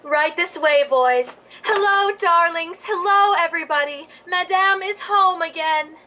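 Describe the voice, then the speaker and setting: A woman's voice: very high-pitched, a little slow, bright, forceful tone, exaggerated voice, low-quality recording. A woman playing a spoiled, gushing Hollywood movie queen in a radio drama, with a theatrical, affected manner. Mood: grandly gushing.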